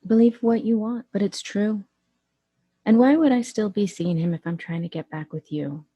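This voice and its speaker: A woman acting in an audio drama as a bold, flirtatious, gossipy best friend with a sassy, expressive conversational manner. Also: medium pitch, moderate pace, breathy edge, highs cut off, lightly acted. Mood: regretful, sincere.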